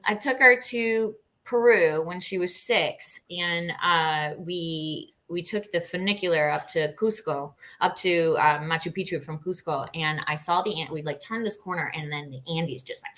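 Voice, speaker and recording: Interview guest: middle-aged woman from Costa Rica, forward bright tone, slightly low, casual animated storyteller.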